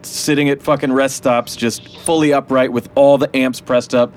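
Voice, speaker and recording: A man's voice: mid-range voice, level tone, moderate pace. A man, a musician hosting an interview, chatting casually, laughing often and swearing easily.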